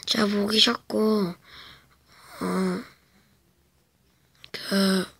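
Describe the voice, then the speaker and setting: A woman speaks in a hushed, breathy whisper, drawing out her words slowly as she tells a spooky story from her own home. Under the whisper her voice has a bright, edgy tone. She hesitates often and fills the gaps with small filler sounds. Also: mid-range voice, steady tone. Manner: slow, hesitant, with many fillers. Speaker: vlogger. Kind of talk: whispered casual storytelling to camera. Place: home, indoors.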